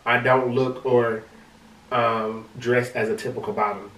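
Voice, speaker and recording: A man with a high-pitched voice, co-hosting a casual podcast chat, talking in an animated way and often restarting his words. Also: measured pace. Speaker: podcast co-host. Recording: roomy sound from a distant mic.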